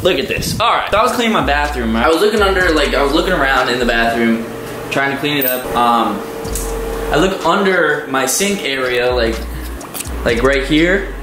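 Young American man, lively swooping pitch, slangy surfer-bro delivery, casual vlog chat, handheld camera. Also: normal speed, music in the background. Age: 20s. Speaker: vlogger. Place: rooms at home, later outdoors by a garage. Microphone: handheld camera at arm's length.

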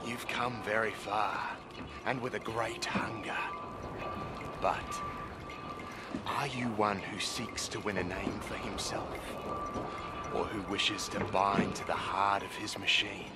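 An actor playing a flamboyant, sly race boss in a video game, speaking in grandiose, preacher-like theatrical patter. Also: male voice, fairly low pitch, steady tone, takes it slowly, long pauses. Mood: grandiose preaching. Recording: music under the voice.

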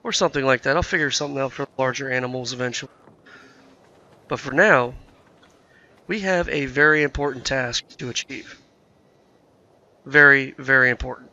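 A man's voice: mid-range voice, moderate pace, pauses often, pushed and bright, muffled recording. Sick, nasal-sounding male gamer. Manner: rambling, thinks aloud with many fillers. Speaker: gameplay commentator. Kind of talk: casual let's-play commentary.

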